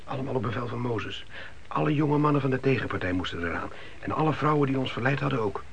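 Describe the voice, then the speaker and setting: An actor playing a chatty, scornful young emigrant son in a radio drama, speaking colloquially with repeated words. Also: male voice, mid-range voice, fast, gravelly, lo-fi sound, close to an everyday voice. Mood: eager storytelling. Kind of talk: naturalistic radio drama dialogue. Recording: hissy old radio play recording.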